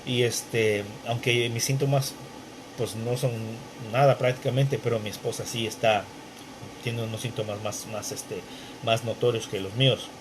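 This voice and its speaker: Halting Spanish-speaking man reading names. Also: medium pitch, little pitch movement, noisy background. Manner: halting, many restarts and fillers. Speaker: Bible teacher. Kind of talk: conversational Bible study intro. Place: bedroom at home. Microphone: wearing headphones.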